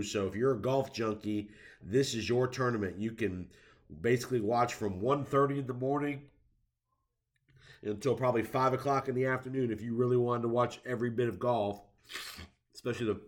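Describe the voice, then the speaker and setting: A man with a stuffy head cold giving casual, conversational golf commentary and predictions, with lots of fillers. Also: mid-range voice. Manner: conversational, many fillers, drawn-out emphasis.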